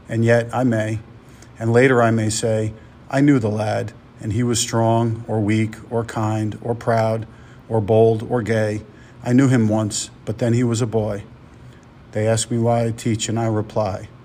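Even, lowish-voiced man reciting poetry. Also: a little hiss or hum. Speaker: volunteer poetry reader, teacher.

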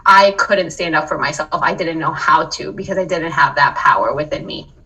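A woman's voice: deep voice, moderate pace, bright, forceful tone, recorded from a distance, low-quality recording. A woman from Colombia, a wellness coach, telling her story earnestly in a casual interview, often checking in with "right?". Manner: earnest, reflective, frequent "right?" and laughs. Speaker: podcast guest, wellness coach.

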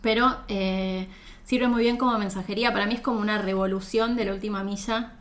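Argentine woman on a podcast, upbeat, chatty, middle pitch. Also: fairly even intonation, a little fast, a bit bright. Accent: Argentine Spanish. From Comodoro Rivadavia, Argentina. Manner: upbeat, recommending things with enthusiasm. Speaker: podcast co-host. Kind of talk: casual chat.

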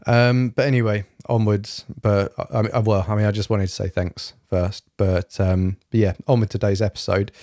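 A young man hosting his solo podcast in a rambling, fairly monotone way, with lots of fillers and a slightly rough throat. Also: fairly low pitch, normal speed, hardly any pauses, dry recording, studio quality. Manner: rambling, many fillers and restarts. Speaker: podcast host. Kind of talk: casual solo podcast chat. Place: home, evening, quiet room.

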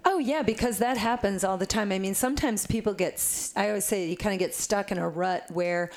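A woman who works as a body worker, speaking in casual conversation with a raspy voice and a reflective manner full of fillers. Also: medium pitch, fairly quick, a little mellow.

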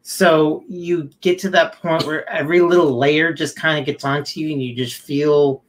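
A man with a fairly high voice talks openly over a video call. He speaks with animation and lightens things with humor. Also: moderate pace. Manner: candid, animated, with bursts of humor. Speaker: guest. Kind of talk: casual video call chat.

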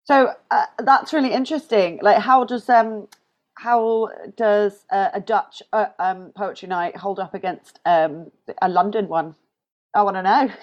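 A woman interviewer asks her guest a curious question at an unhurried pace. She sits back from the mic, and the sound is slightly dull and lo-fi. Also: medium pitch.

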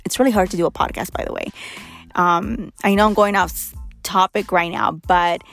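A young woman hosting her own podcast in a blunt, candid, chatty way, hoarse as she loses her voice. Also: on the low side, fairly even intonation, normal speed, a bit bright, faint music behind. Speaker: podcast host. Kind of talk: casual solo podcast talk.

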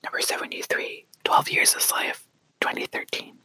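A whispering ASMR creator softly reading a countdown list, hushed and unhurried, pausing to apologize for small slips. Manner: soft whispering, quietly acting out each quote before the title, apologizing for slips.

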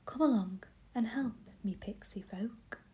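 A woman voicing a small, helpful yellow pixie in a soft whisper. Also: fairly high pitch, a little slow, close to an everyday voice.

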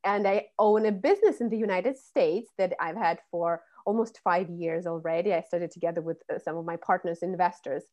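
A woman's voice: on the low side, a little fast, few pauses, a little mellow. A woman, a public speaking coach who explains things fluently in an interview. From Russia. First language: Russian. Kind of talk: podcast interview.

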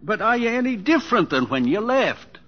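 A man's voice: sits high, very animated, a little fast. An actor playing a proud, exasperated middle-aged rancher father in an old radio drama, brusque and lecturing. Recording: dull old radio recording.

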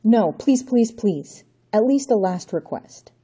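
A woman's voice: on the low side, expressive, moderate pace, warm tone, slightly rough. A woman voicing a desperate young male hero who speaks with urgent, pleading intensity in a raised voice.

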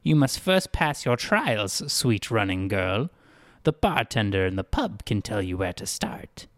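A man's voice: fairly low pitch, very animated, unhurried, no echo, studio quality. A man voicing an odd, neckless male town greeter who is relentlessly cheerful and speaks in a sing-song, overly polite way in a storybook reading. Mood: eerily cheerful.

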